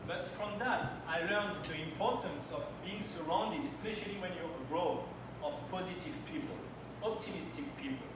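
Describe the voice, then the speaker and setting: A man's voice: high-pitched, moderate pace. French man telling stories in an echoey hall. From France. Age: 30s. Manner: storytelling, personal anecdotes, non-native phrasing. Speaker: conference speaker. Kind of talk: timed slide presentation. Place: event hall at a launch party. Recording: very echoey hall, distant mic, noisy.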